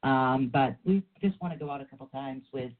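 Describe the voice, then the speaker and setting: A woman co-hosting a talk show, a bit sick with a rough, raspy voice, chatty and rambling with many restarts. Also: a bass voice, fairly quick. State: under the weather, rough voice. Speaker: co-host of a paranormal talk show. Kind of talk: casual chat. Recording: phone-like narrow sound.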